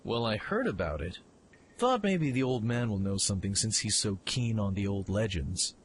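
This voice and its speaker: A man playing a brash, eager young mech pilot in an audio drama, informal and excitable in his delivery. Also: fairly low pitch, normal speed, a little hiss or hum. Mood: suspicious, musing. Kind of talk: fan audio drama acting.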